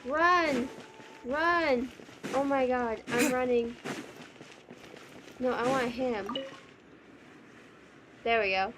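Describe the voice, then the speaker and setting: A woman's voice: high-pitched, takes it slowly, long pauses, background noise. A woman streaming a game with casual live commentary, playful and blunt, often muttering to herself. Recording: game music behind the voice. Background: game music.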